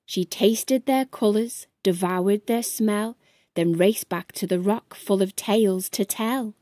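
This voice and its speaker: A woman reads a rhyming children's picture book at a slow, measured pace. Her voice is soft-toned and mellow, recorded close and dry, suited to bedtime. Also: medium pitch, no room sound. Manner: measured bedtime-story delivery in verse. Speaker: storybook narrator.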